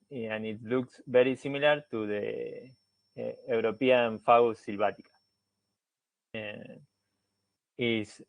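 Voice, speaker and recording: A man's voice: medium pitch, vocal fry, dry recording, low-quality recording. A man with an Argentine Spanish accent, a native Spanish speaker, giving a researcher's seminar talk with many hesitant fillers and restarts. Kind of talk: academic presentation.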